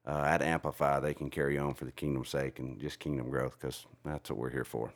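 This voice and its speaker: A very deep male voice in a casual chat. He talks as a guest, in an informal, conversational style. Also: little pitch movement, fairly quick.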